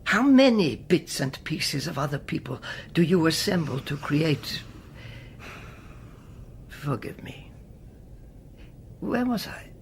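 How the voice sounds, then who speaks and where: A man's voice: fairly high pitch, big pitch swings, takes it slowly, gravelly, long pauses, slight background noise, heavily acted. An actor playing an older male Czech spymaster in a radio drama, courteous and persuasive, often dropping to near a whisper. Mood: musing, wistful.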